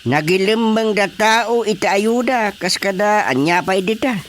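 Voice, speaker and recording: A man's voice: sits high, lively intonation, a little slow, fairly bright tone, a little hiss or hum. An actor playing a grumbling, bossy older male village chairman in a radio comedy drama. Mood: grumbling, dismissive.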